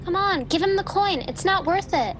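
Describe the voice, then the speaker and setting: A woman's voice: very high-pitched, expressive, moderate pace. A woman playing a daring, cheeky young street thief girl in a radio play. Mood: pleading. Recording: noisy home video-call recording.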